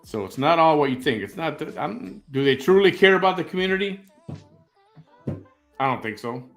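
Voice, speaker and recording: A man's voice: fairly high pitch, expressive, normal speed, a bit bright, soft background music. Rambling opinionated man in his fifties. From Chicago. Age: 50s. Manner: rambling, opinionated, repeats himself, joking. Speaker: live-stream show host. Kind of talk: casual live-stream chat reading viewer comments.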